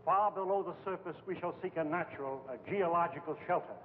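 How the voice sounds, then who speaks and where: Actor as a high-voiced, earnest scientist, echoing hall. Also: male voice, brisk, close to an everyday voice. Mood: earnest, persuasive. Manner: earnest, formal, declamatory. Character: embattled, earnest male scientist pleading to save mankind. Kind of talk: 1950s film acting, oratorical. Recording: hollow, tinny old film soundtrack, hiss.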